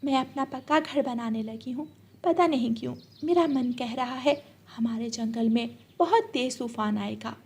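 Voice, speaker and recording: A woman's voice: a little high, normal speed, darkish tone, breathy edge. A woman voicing a kind, anxious mother sparrow in a children's cartoon story, earnest and worried.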